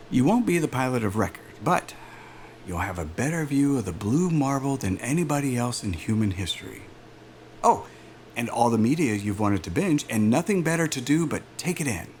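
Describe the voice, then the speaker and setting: A man's voice: mid-range voice, darkish tone, slight background noise. An actor playing a chummy, persuasive aerospace executive interviewer in a cinematic audio drama, with a chatty, polished salesman manner. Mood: persuasive, measured. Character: smooth, glib male aerospace executive recruiter, chummy and persuasive.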